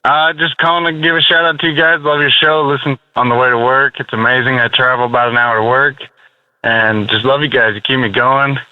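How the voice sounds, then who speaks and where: A man's voice: mid-range voice, flat delivery, fairly quick, smooth, dry recording. A man calling in to a radio show, chatting casually in a friendly, enthusiastic way. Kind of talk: casual chat. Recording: lo-fi dry phone call.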